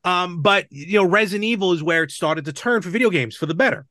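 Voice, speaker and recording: A man's voice: high-pitched, quick pace, sharp tone, no room sound, clean studio sound. A man hosting a podcast, chatting casually about movies and games. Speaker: podcast host.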